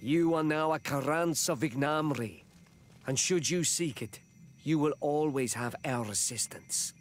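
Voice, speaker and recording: An actor playing a solemn, gracious dignitary in a fantasy video game, formal and measured. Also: male voice, a little high, a little hiss or hum, close to an everyday voice. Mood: solemn, conciliatory. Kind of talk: video game dialogue acting.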